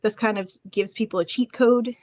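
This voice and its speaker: A woman speaking as a panelist in an online session, explaining informally with frequent hesitant pauses. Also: on the high side, fairly even intonation, darkish tone, no echo. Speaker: panelist, librarian. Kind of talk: informal presentation with slides. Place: online panel, close dry sound.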